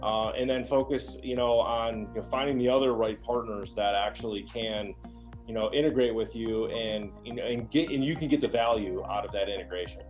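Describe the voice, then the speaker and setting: A man's voice: on the low side, fairly even intonation, normal speed, soft background music. A man speaking as an executive guest in a business discussion, explaining informally and often voicing imagined dialogue. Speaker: logistics executive, webinar guest.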